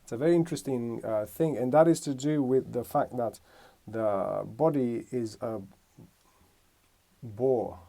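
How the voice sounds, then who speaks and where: A man's voice: medium pitch, measured pace, gravelly, dark tone. A man from Romania giving a casual music lesson, thinking aloud and correcting himself as he explains. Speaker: music teacher.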